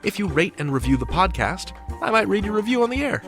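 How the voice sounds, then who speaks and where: A man hosting a drama podcast, reading a smooth, scripted narration and outro. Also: sits high, very animated, quick pace. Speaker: podcast host. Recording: music under the voice.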